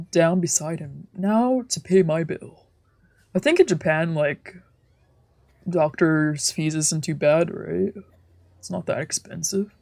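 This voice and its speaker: Female let's play streamer, slow delivery, giggly and casual, narrating a visual novel. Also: fairly low pitch, darkish tone, no room sound. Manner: playful, giggly.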